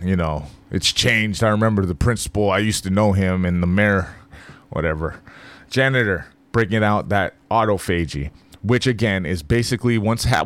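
Deep-voiced man in casual monologue. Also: no echo. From Philippines. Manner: loose, joking, talks to the listener directly.

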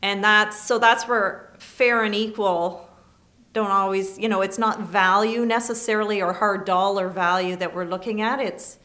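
A woman lawyer with a Canadian accent, answering interview questions in a measured, explanatory way. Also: medium pitch, fairly even intonation, sharp tone.

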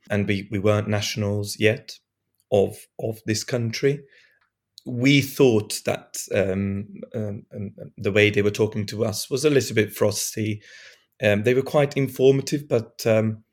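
A man from talks slowly through a podcast chat, stopping and starting often. His voice is a little low and still hoarse from a recent illness. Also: fairly even intonation. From Eastern Europe. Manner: hesitant, many restarts and fillers. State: hoarse, recovering from lost voice. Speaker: podcast guest. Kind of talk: casual conversation.

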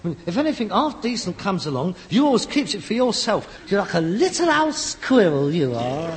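Mocking, high-pitched London son. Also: male voice, very animated, fairly quick. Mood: aggrieved. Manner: mocking, sardonic. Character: sarcastic, exasperated grown son, rag-and-bone man. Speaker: actor in a comedy. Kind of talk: British sitcom acting. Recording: reverberant, noisy old sitcom recording.

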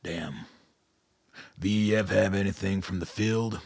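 Very gravelly, gruff army colonel. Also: male voice, low voice, fairly even intonation, unhurried, darkish tone, dry recording, studio quality, exaggerated voice. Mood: weary, blunt. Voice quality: very gravelly, husky. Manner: blunt, irritable, swearing. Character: gruff, foul-mouthed army colonel, short-tempered but shrewd. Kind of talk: audio drama acting.

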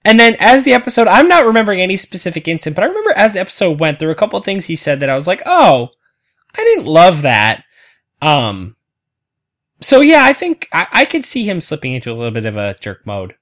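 A woman's voice: on the low side, wildly swooping pitch, fast talker. A woman co-hosting a casual podcast, gushing and rambling as she chats. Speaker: podcast co-host. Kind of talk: casual chat.

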